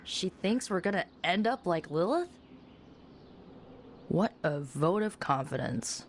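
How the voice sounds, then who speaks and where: Defiant young witch, very expressive. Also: fairly low pitch, slow, a little hiss or hum, full character voice. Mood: defiant, sarcastic. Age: young adult. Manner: sarcastic, impassioned, colloquial. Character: defiant, confident young witch with a sarcastic edge. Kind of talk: dramatic video game acting.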